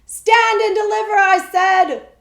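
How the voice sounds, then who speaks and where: A woman's voice: very high voice, steady tone, slow, a bit bright, pitched above the performer's own voice, exaggerated voice. A woman reading a children's storybook, voicing a big, angry, greedy male outlaw with a snarling, rustic way of talking. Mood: loud, demanding. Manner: bellowing, snarling, rustic slangy speech. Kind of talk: children's storybook read-aloud. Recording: echoey room.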